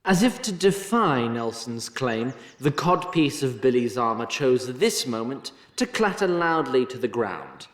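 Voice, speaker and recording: Slow, dramatic male narrator in echoing space. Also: mid-range voice, very animated. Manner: measured, dramatic, wry in places. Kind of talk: scripted fantasy story narration.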